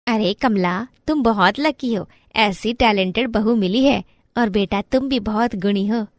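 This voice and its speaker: A woman's voice: medium pitch, a little slow, dry recording, clean studio sound, lightly acted. A woman playing a nosy, gossipy middle-aged neighbour auntie in a family story cartoon. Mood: admiring. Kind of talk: Hindi family moral-story cartoon acting.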